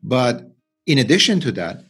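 A middle-aged man with a Turkish accent, giving unscripted interview answers as a scientist, halting and often repeating words. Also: medium pitch, very animated, a bit bright, husky, soft background music. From Turkey. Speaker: interview guest, research scientist and physician.

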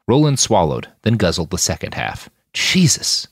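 Deep-voiced man narrating gritty fiction. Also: sing-song, normal speed, no room sound, clean studio sound. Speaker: author reading his own fiction podcast. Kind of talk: audiobook-style narration of gritty sci-fi fiction.

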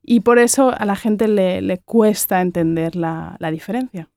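A woman's voice: mid-range voice, steady tone, unhurried, vocal fry, darkish tone, no echo. Encouraging woman podcast host. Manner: friendly, encouraging, with frequent affirming murmurs. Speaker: podcast co-host, language teacher. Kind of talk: casual podcast chat.

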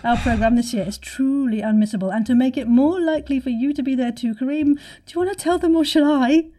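Playful, high-pitched woman hosting. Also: fast talker. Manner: playful, theatrical, excitable, repeats words for effect. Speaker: podcast host and festival organiser. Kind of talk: lightly scripted promotional banter.

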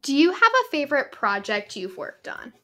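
A woman hosts a podcast interview, firing off eager follow-up questions. Her voice is fairly high and bright. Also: big pitch swings. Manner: enthusiastic, asks quick follow-up questions. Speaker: podcast host. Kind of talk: casual interview chat.

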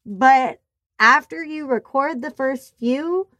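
A woman with a nasal voice talking casually on a podcast, expressive and animated as she tells stories. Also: high-pitched, takes it slowly, dry recording. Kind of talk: casual chat. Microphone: remote call.

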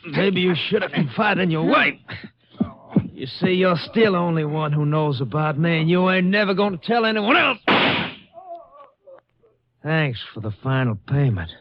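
An actor playing a mocking, threatening American blackmailer in a 1950s radio crime drama, speaking in rough colloquial style. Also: male voice, on the high side, lively intonation, moderate pace, long pauses. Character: menacing, sneering male blackmailer and killer. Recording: dull, noisy old radio recording with clicks.

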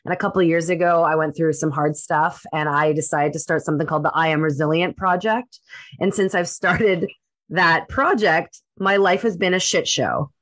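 A woman's voice: low voice, normal speed, a bit bright. A woman co-hosting a podcast, talking candidly in long run-on sentences. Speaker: co-host. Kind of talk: casual podcast talk.

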